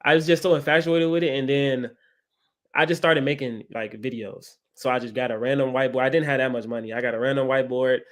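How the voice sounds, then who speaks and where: A man's voice: medium pitch, fairly even intonation, fast, a bit bright. Young man repeating "right?". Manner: repetitive, leans on "right?". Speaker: guest, credit educator. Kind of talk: casual interview talk.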